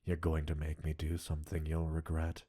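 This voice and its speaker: A man playing an obsessive, coolly possessive mad-scientist captor in an intimate roleplay audio, speaking with deliberate, teasing dominance and a breathy huskiness. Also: extremely low pitch, monotone, dark tone. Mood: possessive, seductive. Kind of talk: intimate scripted roleplay addressed to listener.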